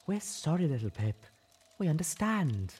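Soft-spoken pony healer mare, man putting on a raised gentle voice, firm, calm. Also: high voice, moderate pace, breathy edge, pitched above the performer's own voice, exaggerated voice. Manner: soft, reasoning, sometimes stern.

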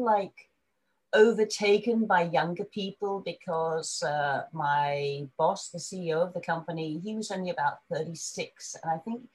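A woman's voice: on the low side, low-quality recording. An older woman from England with an English accent, a guest chatting casually and laughing easily as she tells her story over a video call. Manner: chatty, laughs easily, frequent restarts, animated when quoting others.

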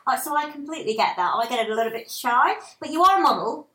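A woman hosting a casual podcast chat, talkative and self-deprecating, with frequent giggles, recorded in a small flat. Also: high-pitched, quick pace, echoey room. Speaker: podcast host.

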